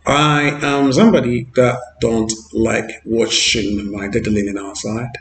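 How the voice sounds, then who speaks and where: Ghanaian man, street vlogger, earnest and rambling, gravelly tone, echoey outdoor sound. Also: fairly low pitch, fairly even intonation, measured pace, soft background music. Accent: Ghanaian English. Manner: earnest, repetitive, with fillers. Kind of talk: walking street vlog.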